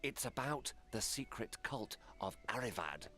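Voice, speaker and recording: A man's voice: medium pitch, lively intonation, unhurried, bright, forceful tone. A man playing a polite, confiding political intriguer in a fantasy video game. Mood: courteous, confiding. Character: smooth-talking, courteous male council intriguer.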